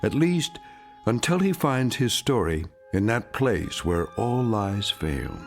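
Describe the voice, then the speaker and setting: An actor playing a grave, ominous storyteller, narrating a radio drama in a deliberate, portentous way. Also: male voice, fairly low pitch, very animated, full character voice. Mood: ominous, knowing. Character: grave, ominous male storyteller introducing eerie tales. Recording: faint music behind.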